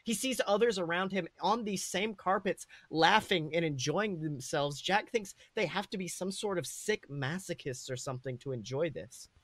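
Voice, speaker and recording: A man's voice: sits high, normal speed, few pauses, fairly bright tone, dry recording. A man narrating a comedic story in a dramatic read-aloud style as a podcast host.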